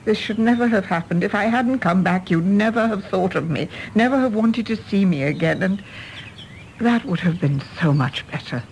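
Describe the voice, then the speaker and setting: An actress playing a weary, disillusioned mother in a radio drama, measured and emotive. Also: medium pitch, darkish tone, a little hiss or hum, natural voice, rough recording. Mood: distressed.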